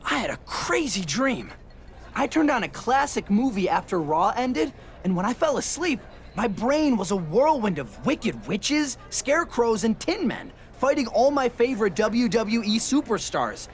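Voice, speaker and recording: A woman's voice: on the high side, sing-song, unhurried, slight background noise. A woman voicing a whimsical, playful female wrestler in a video game cutscene. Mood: playful, dreamy.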